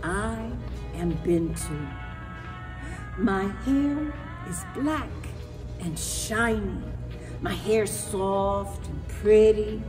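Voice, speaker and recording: A woman voicing an excitable young girl telling her adventure in a dramatic, theatrical storybook read-aloud. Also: mid-range voice, very slow, voice pushed down. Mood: proud, happy. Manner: theatrical, expressive, emphatic repetition. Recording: echoey hall, music behind.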